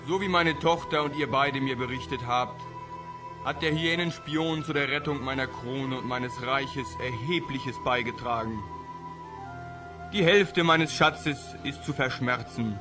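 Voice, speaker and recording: A man's voice: medium pitch, lightly acted. Man as a kindly king over music. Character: kindly, magnanimous old-fashioned king, a father. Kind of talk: scripted children's fantasy radio play. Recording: music behind the voice.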